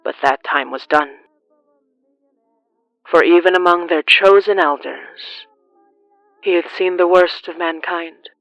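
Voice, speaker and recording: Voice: mid-range voice, fairly even intonation, a bit bright, dry recording. Solemn horror narrator with a muffled sound. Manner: solemn, deliberate, with dramatic pauses. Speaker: narrator of a horror fiction podcast. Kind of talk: scripted dramatic horror narration.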